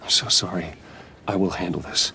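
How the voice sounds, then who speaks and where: An actor playing a guarded, restrained man in a video game, speaking in a hushed, measured, earnest way. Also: deep voice, steady tone, gravelly, noisy background. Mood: guarded, polite. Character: guarded, apologetic adult man consoling, restrained.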